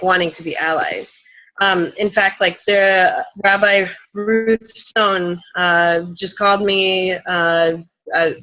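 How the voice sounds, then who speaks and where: A woman with a bright, forward voice at a middle pitch answers as a panelist. Her delivery is conversational and informal, with "like" scattered through her phrases. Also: rough recording. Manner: informal, frequent use of "like". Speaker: panelist, community organizer.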